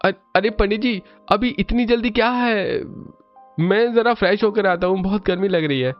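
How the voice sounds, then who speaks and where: A man's voice: high voice, normal speed, pitched above the performer's own voice, close to an everyday voice. A man voicing a flustered, dramatic young man in a family cartoon, exclaiming and faltering. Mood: nervous. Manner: exclaiming, hesitant stammering when pressed. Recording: muffled audio over music.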